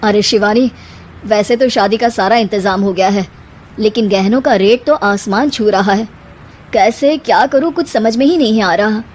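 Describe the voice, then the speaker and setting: A woman's voice: mid-range voice, moderate pace, darkish tone, lightly acted. A woman voicing a worried, conscientious young husband in a family story.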